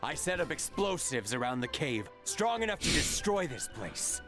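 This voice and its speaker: A man's voice: a little high, big pitch swings, normal speed. An actor voicing a smug, scheming henchman in a video game. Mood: taunting. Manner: smug, taunting. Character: smug, scheming henchman, loyal to his boss. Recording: background game music.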